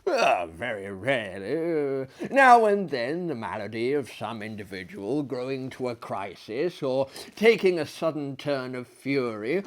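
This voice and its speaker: A man's voice: mid-range voice, very animated, measured pace, hardly any pauses. A man voicing a genial, pompous older asylum director in a theatrical story reading, lecturing with emphasis. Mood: assured. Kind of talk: theatrical storytelling of a classic tale.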